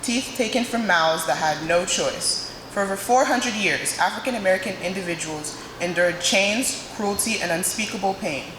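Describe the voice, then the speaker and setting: A young woman from Nigeria, giving a prepared student speech in a dramatic, emphatic way with pauses. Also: mid-range voice. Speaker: student speaker. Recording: echoey hall, noisy room sound.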